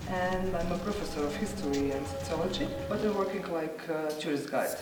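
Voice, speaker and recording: A woman's voice: on the low side, brisk. A woman in her forties introducing herself, hesitant and searching for words, recorded echoey from a distance. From Slovenia. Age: 40s. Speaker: volunteer interviewee. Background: music.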